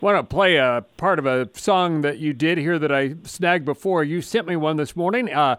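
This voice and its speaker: Male radio host, interviewer, conversational with "uh"s, fairly high voice, dry close sound. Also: normal speed, fairly bright tone, clear, resonant tone, no room sound. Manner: conversational, hesitant fillers.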